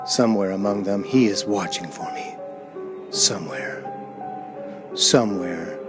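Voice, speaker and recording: A man playing a frightened, unraveling traveler in a suspense radio play, speaking dramatically and haltingly as he tells his story. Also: on the low side, lightly acted. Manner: dramatic, halting, repeats words in distress.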